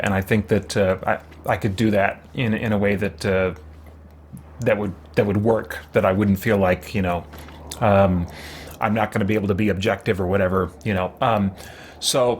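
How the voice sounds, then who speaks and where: A man's voice: medium pitch, a little hiss or hum. A man hosts a casual gaming playthrough in a mid-range voice. He thinks aloud in a hesitant, rambling way. Faint game ambience sits behind him. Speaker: gaming channel host. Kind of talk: casual let's play commentary.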